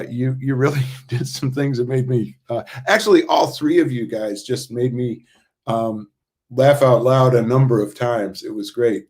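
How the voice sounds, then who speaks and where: Older man, halting and thoughtful. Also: medium pitch. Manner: halting, thinking aloud. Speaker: playwright in a post-show discussion. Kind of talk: casual, reflective discussion. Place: video call.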